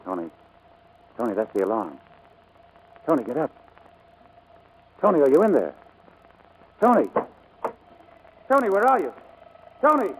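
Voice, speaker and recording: A man's voice: mid-range voice, big pitch swings, measured pace, long pauses, slight background noise, poor audio. An actor playing a devoted, earnest male pilot in love, in 1940s radio drama style. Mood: alarmed. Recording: vintage 1940s radio recording, faint music.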